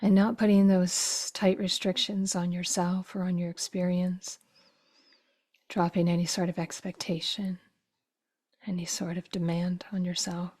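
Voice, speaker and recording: A woman guiding a meditation in a soft, breathy voice that often drops toward a whisper, with slow, gentle phrases and long pauses. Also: lowish voice, level tone. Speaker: meditation teacher. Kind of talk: guided meditation.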